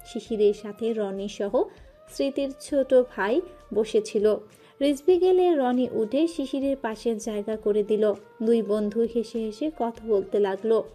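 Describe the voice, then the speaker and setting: A woman storyteller narrating a scripted romance. Her voice is mellow and slightly high, and her reading is expressive and emotive. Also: a little slow, faint music behind.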